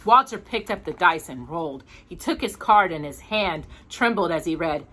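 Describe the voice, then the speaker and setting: A woman reading a children's picture book aloud in expressive storytime narration. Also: fairly low pitch, moderate pace.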